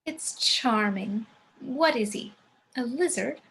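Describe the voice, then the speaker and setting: A woman's voice: fairly high pitch, expressive, slow pace, a bit bright, full character voice. A woman playing a composed, genteel, macabre mother in a table read of a comedy script. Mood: serene. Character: composed, genteel macabre matriarch, serenely morbid.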